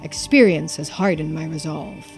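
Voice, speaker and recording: Woman playing an earnest, theatrical gentleman hero. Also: sits low, moderate pace, vocal fry, voice pushed down. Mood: resolute. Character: kind gentleman hero, earnest, quietly resolute. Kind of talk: video game character dialogue read-aloud. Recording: music behind the voice.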